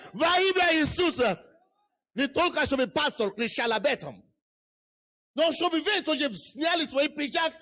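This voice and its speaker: A man preaching a passionate sermon in church, speaking Russian with a non-native accent and often shouting. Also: extremely high pitch. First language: not Russian. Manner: impassioned preaching, shouting and chanting. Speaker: pastor.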